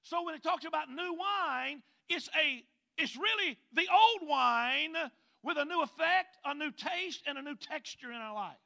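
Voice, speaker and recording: A man's voice: very high-pitched, expressive, gravelly, bright, forceful tone. A man with a Mississippi accent preaching a sermon, stretching out scripture readings and calling on the congregation to respond. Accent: Southern US, Mississippi. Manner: drawn-out emphatic scripture reading, calls for responses.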